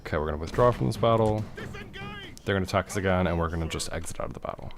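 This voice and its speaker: A deep-voiced man with a gravelly edge talks unhurriedly through his strategy game, musing aloud and answering chat. Soft music plays faintly under his voice. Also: very fast. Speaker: streamer playing a strategy game. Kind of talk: casual gameplay commentary reading chat.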